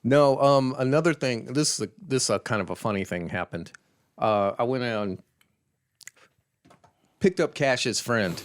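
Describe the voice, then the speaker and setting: A man in his fifties, co-hosting a podcast, telling stories in a halting way with dry humor. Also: mid-range voice. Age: 50s. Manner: halting storyteller, trailing fillers and restarts, dry. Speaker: podcast co-host. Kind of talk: casual chat.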